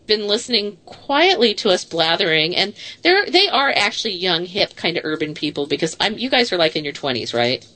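A woman's voice: on the low side, very animated, fairly quick, hardly any pauses, pushed and bright, no room sound. Chatty self-deprecating woman in her forties. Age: 40s. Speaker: radio show host. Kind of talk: casual radio talk show chat.

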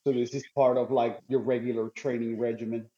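Co-host, male, husky breathy voice, rambling jokey talk, dull lo-fi mic. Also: mid-range voice, little pitch movement, a little fast, warm tone. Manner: joking, rambling, lots of "like" and restarts. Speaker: podcast co-host. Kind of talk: casual podcast chat.

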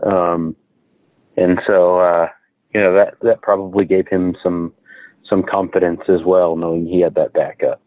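A man's voice: deep voice, flat delivery, a little slow. A man taking part in a casual panel discussion, chatting as one of the guests over a remote-sounding line. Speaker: podcast panelist. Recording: tinny phone-like line, roomy echo.

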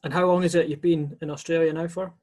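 A man's voice: fairly high pitch, steady tone, quick pace, darkish tone. A man hosting a podcast, asking friendly, long winding questions. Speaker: podcast host. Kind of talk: interview questions.

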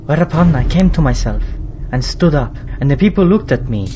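A man's voice: fairly high pitch, lively intonation, brisk, highs cut off, noisy background, lightly acted. An actor playing a rueful young man recounting his misfortune, in formal, declamatory storybook narration with archaic language.

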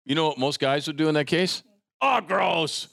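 A man's voice: a little high, normal speed, gravelly, fairly bright tone, dry recording, clean studio sound. An American man hosting a casual interview, informal and teasing, reacting to his guest with exclamations. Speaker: podcast host, interviewer.

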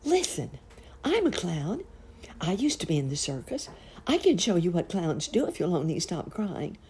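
A woman's voice: on the low side, sing-song, normal speed, darkish tone, a little hiss or hum. A woman voicing a kindly, earnest little male clown in a storybook tale, coaxing and encouraging. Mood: eager, coaxing.

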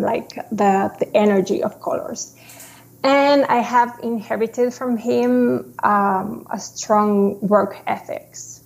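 Slow-speaking woman with gravelly tone. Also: fairly high pitch, low-quality recording. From Chile. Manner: slow, halting, with frequent restarts. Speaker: guest, designer. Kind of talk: casual interview.

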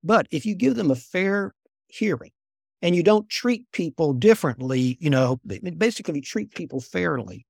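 Older guy, soft voice with some gravel, a bit higher pitched, dry close mic. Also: expressive, no room sound. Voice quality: soft-spoken. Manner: unhurried, repeats words when restarting. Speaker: guest, former intelligence officer. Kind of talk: conversational storytelling.

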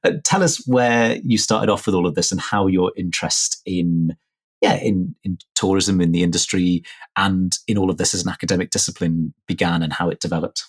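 A man interviewing a guest, putting his points in a measured, hedging way. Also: low-pitched, fairly even intonation, husky. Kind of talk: conversational interview.

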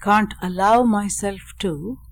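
A woman guides a meditation at a very slow pace. Her pitch is medium, and she speaks deliberately in short, measured phrases, leaving long pauses between them as a teacher would.

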